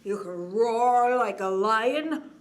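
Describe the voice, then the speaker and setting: A woman in her forties hosting a children's bedtime story time, expressive, drawing out words and often dropping to a hushed, whispery tone. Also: on the high side, slow pace. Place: indoors, small curtained set. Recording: clear background noise.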